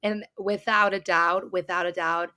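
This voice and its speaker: A young woman talks about her business with eager enthusiasm, her voice bright and sharp. Her pitch sits a little low, and her speech is loose and conversational, full of "um" and "like". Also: steady tone, measured pace, clean tone. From Mexico. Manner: enthusiastic, warm gratitude, fillers like "um" and "like". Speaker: business founder guest. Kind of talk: casual interview chat.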